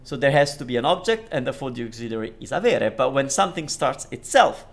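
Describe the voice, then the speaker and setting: A man's voice: mid-range voice, expressive, quick pace, gravelly. A man from Italy teaching Italian, explaining phrases with restarts and fillers, switching between English and Italian. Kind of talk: language lesson commentary.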